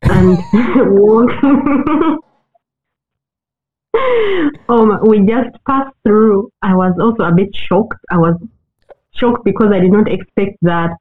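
A podcast co-host from Uganda talks in a slow, reflective way, often breaking into soft laughs. The voice sits at a middle pitch. It comes through a thin, phone-like line. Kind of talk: casual chat.

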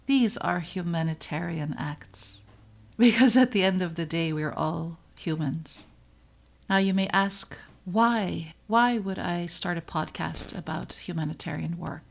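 A middle-aged woman from the Netherlands hosts her own podcast, speaking slowly and deliberately with a low-set voice. Her tone is earnest and reflective, and a gravelly fry runs through it as she lets pauses land. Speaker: podcast host, pediatrician. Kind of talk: scripted personal podcast narration.

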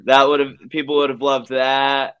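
A man telling a story as a guest comedian on a casual podcast, halting and repeating himself as he acts out exchanges. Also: medium pitch, completely flat delivery, fairly bright tone, smooth, highs cut off.

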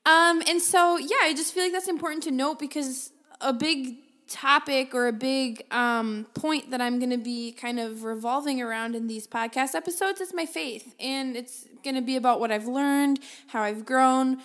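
A woman hosting her own podcast alone in a small office, talking casually and upbeat into a desk microphone, with a loud voice that carries. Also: high-pitched, moderate pace. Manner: chatty, upbeat, laughs often, self-deprecating. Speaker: podcast host. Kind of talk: casual solo podcast chat. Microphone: desktop microphone.

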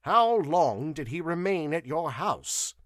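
A man's voice: on the high side, very animated, slow, a bit bright, no room sound, clean studio sound, pitched above the performer's own voice, heavily acted. A man voicing a stern, impatient inquisitor in an audiobook, questioning in a curt, commanding way. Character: stern male inquisitor, impatient, weary of interrogations.

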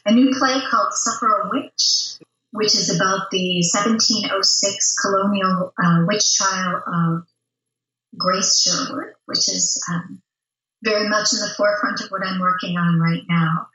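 A woman speaks as an interview guest in a conversational way. Her voice is bright and forward, though she sounds a bit away from the mic in an echoing room. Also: mid-range voice, fairly even intonation, unhurried. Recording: roomy, distant, slightly dull sound.

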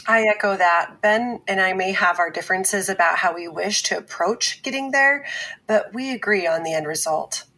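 Earnest, husky-edged advocate. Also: female voice, medium pitch, sharp tone, rough recording. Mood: measured. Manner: measured, deliberate, earnest. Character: earnest, firm female survivor turned advocate pundit. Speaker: talk-show commentator. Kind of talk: scripted fiction podcast acting.

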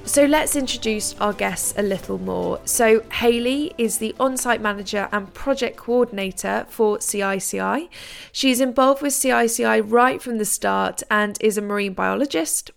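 A woman's voice: on the high side, moderate pace, creaky, soft background music. A woman hosting a podcast, introducing guests and asking polite, formal questions with enthusiasm. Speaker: podcast host. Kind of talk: podcast interview.

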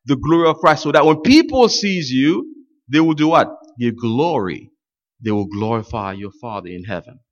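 A man's voice: expressive, normal speed. A man preaching a sermon, a native French speaker talking in English and getting his congregation to fill in his words. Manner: swings from loud high exclamations to low quiet asides. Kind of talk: call-and-response sermon. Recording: dull lo-fi sound.

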